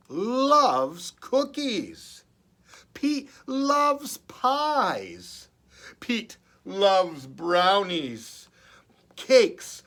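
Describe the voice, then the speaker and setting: Very slow-paced man reading a children's story. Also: sits high. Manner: expressive, with chatty playful asides to a companion. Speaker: children's librarian reading aloud. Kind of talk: children's story time read-aloud.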